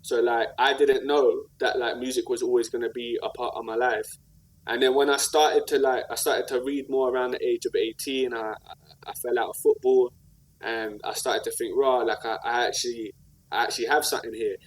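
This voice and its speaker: A man with a South London accent talks at a middling pitch in a podcast interview. He is earnest and reflective, using street slang and checking in with the listener as he tells his story. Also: steady tone, fairly quick, rough recording. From South London, England. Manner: earnest, slangy, with repeated "like" and "you know what I mean". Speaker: podcast guest, rapper and community activist.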